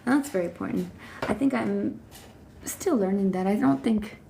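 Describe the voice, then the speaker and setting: Woman in her 30s, casual faith livestreamer, gravelly mellow voice, faint hiss behind. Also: medium pitch, normal speed. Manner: chatty, many fillers and restarts. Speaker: Bible study livestreamer. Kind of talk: casual Bible reading chat. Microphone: phone.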